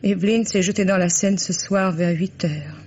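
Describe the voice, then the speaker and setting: A woman's voice: lowish voice, steady tone, normal speed, a little mellow, natural voice. An actress playing a stunned, bereaved middle-aged mother with cold, controlled formality in a 1950s-style French radio drama. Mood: cold, accusing. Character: bereaved mother, stunned, coldly composed and accusing. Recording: dull lo-fi old radio, noisy.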